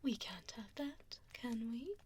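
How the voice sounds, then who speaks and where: A woman voicing an evil, possessive female vampire in a breathy ASMR whisper, deliberate and mockingly polite, speaking close into a binaural mic. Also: on the high side, expressive, a little mellow. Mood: cold, gloating. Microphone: binaural ear microphone, close up. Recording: close binaural mic with audible background noise.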